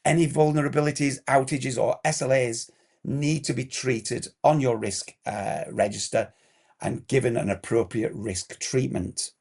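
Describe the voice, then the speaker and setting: Guy, hoarse scratchy throat, gravelly voice, walking through compliance steps, lots of "what about...?" questions. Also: medium pitch, steady tone, a little slow, darkish tone. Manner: direct, fires off rhetorical checklist questions. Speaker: compliance consultant. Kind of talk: instructional explainer video.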